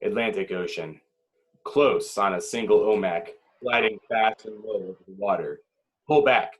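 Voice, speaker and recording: A man's voice: on the low side, moderate pace. A man reading screenplay stage directions as the dramatic narrator of a table read.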